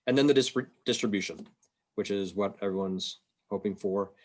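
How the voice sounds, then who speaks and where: A man with a fairly low voice talks through a presentation on a video call. His delivery is informal and explanatory, with a halting, plain-spoken way of laying things out. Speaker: state revenue agency policy presenter. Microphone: video call microphone.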